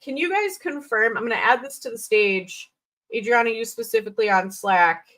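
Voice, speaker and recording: A woman's voice: on the high side, sing-song, normal speed, fairly bright tone. A woman hosting a livestream, giving informal, blunt feedback in a chatty, unscripted way and thinking aloud as she goes. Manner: informal, blunt, thinks aloud with little sing-song filler sounds. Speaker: livestream host reviewing resumes.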